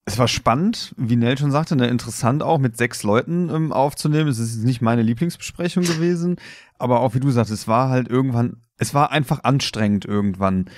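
A man's voice: medium pitch, a little fast, no echo. Man on a podcast, dry close sound. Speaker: podcast co-host. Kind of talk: casual podcast chat.

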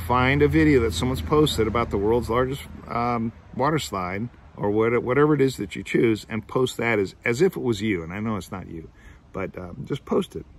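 A man's voice: mid-range voice, moderate pace, slight background noise. A middle-aged man, filmed outdoors on a phone, explaining a class assignment in a rambling way, restarting his sentences and thinking aloud. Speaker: teacher. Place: outdoors among trees. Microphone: phone camera at arm's length.

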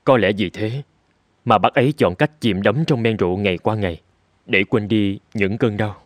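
A man playing a gentle, supportive husband in a cartoon drama, speaking in a measured, consoling way. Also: fairly low pitch, dry recording, close to an everyday voice. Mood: concerned.

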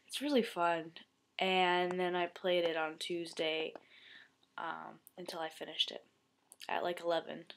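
Stuffy-nosed young woman rambling at her webcam in her bedroom, lowish voice. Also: little pitch movement, unhurried, vocal fry, slight background noise. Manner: rambling, soft, trailing off. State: congested. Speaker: vlogger. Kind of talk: casual chat.

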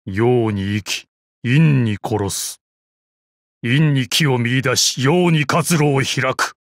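A man playing a calm, deadly old martial arts master and assassin in a video game, with a weathered, measured, wry delivery. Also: mid-range voice, dry recording, clean studio sound. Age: older. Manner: measured, wry, explosive battle cries. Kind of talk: video game battle voice lines.

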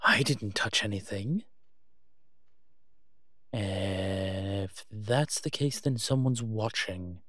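Give the part to a low-voiced vampire played by a man, wry and watchful. He talks slowly and deliberately, as if thinking aloud in an intimate audio roleplay. The sound is dry and close. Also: a bit bright, no room sound. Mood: wary, urgent. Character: wry, cautious male vampire father investigating a cabin. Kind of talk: scripted audio roleplay acting addressed to the listener.

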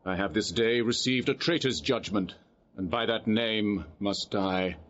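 An actor playing a dignified condemned duke, solemn and oratorical, in Shakespearean audio drama. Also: male voice, on the low side, a little slow, fairly bright tone, highs cut off. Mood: solemn, resigned. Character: noble condemned duke addressing onlookers with dignity. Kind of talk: Shakespearean radio drama acting.

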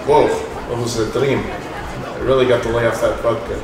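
A man's voice: mid-range voice, flat delivery, moderate pace, recorded from a distance, crowd noise. A man gives casual let's play commentary in a roomy, echoing space. He sits away from the mic and rambles through joking asides while game music plays behind him.

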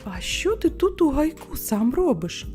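A woman's voice: sits high, sing-song, a little slow, dark tone, soft background music, voice pushed up. A woman voicing a small female bird in a children's tale, teasing and a little preachy. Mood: curious. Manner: teasing, admonishing. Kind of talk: storybook character voice.

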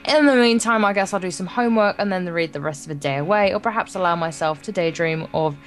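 A woman's voice: fairly low pitch, fast, faint music behind. A woman hosting a Let's Play, reading visual novel text aloud with informal, jokey asides and the odd stumble. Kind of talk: reading game text aloud with casual banter.

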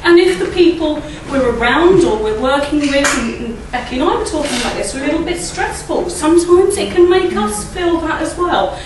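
A middle-aged woman with a croaky voice speaks at a church service from a lectern mic, chatty and often asking the audience tag questions. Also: high voice, expressive, a little fast, few pauses, background noise. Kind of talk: informal talk at a church service. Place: church hall, indoors. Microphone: standing mic at a lectern, filmed by a far camera. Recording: echoey hall, recorded from a distance.

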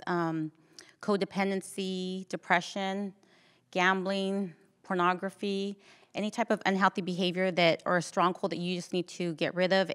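A middle-aged woman explaining a church program casually, earnest and halting, into a handheld microphone. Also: lowish voice. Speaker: church recovery program leader. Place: indoors, dim church stage.

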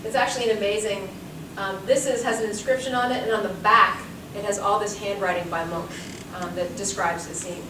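A woman's voice: medium pitch, fairly even intonation, brisk. A woman in her forties, a curator giving a talk, telling anecdotes in a chatty way and laughing often. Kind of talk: lecture with anecdotes. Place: seminar room with audience. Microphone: room mic from far off. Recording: echoey room, distant mic, background hiss.

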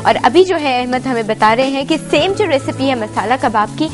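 A woman's voice: sits high, animated, normal speed, music in the background. A young woman hosting a TV cooking show, asking the chef curious, playful questions and mixing in English phrases. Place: TV studio kitchen set.

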